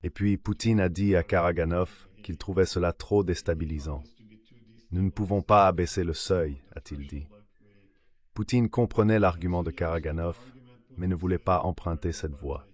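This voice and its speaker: A man's synthetic AI voice giving a translated political analysis in a measured, formal read-out manner. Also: sits low, darkish tone. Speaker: translation voice-over of an interview guest.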